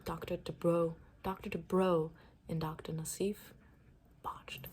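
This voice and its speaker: A woman's voice: on the low side, flat delivery, measured pace, darkish tone, slight background noise. A woman doing an ASMR roleplay as a makeover stylist, murmuring repetitive, reassuring step-by-step instructions in a personal-attention style.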